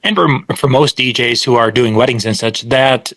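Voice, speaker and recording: A man's voice: mid-range voice, moderate pace, fairly bright tone, no room sound. A man interviewing a guest at a trade show, asking leading questions and adding short affirmations.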